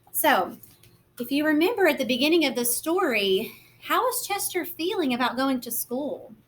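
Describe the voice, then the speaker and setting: Woman, kindergarten teacher, picture book read-aloud, gentle, expressive, simple explanations, higher pitch, faint background noise.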